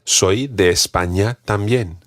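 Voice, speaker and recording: A man's voice: lowish voice, takes it slowly, dry recording, studio quality. A man teaching a podcast language lesson, patiently modelling pronunciation and repeating drills for a learner. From Scotland.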